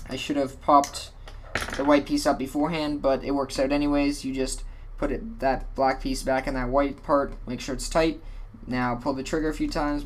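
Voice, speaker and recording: A man's voice: medium pitch, slight background noise. A man hosting a casual how-to walkthrough, talking in a rambling, offhand way and correcting himself often, his voice tired and a little worn. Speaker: tutorial host.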